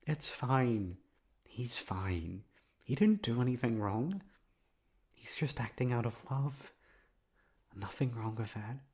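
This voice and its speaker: A man playing an obsessive, lovesick young man in an intimate ASMR roleplay, coaxing softly with drawn-out words and emphatic repetition. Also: mid-range voice. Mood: defensive, insistent. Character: obsessive lovesick young man confessing to his best friend.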